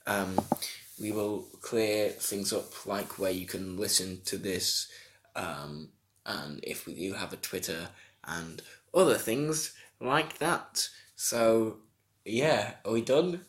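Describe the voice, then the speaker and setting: A man's voice: fairly low pitch, slow. A man with a nasal voice, co-hosting a casual podcast chat, rambling and cutting himself off mid-sentence. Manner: rambling, self-interrupting, laughs often. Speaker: podcast co-host. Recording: echoey room sound.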